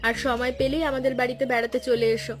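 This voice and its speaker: Woman as high, quick-talking young village wife. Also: flat delivery, faint music behind, lightly acted. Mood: caring. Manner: brisk, caring, gently bossy. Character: caring, bustling young village wife and daughter. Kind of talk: cartoon story dialogue.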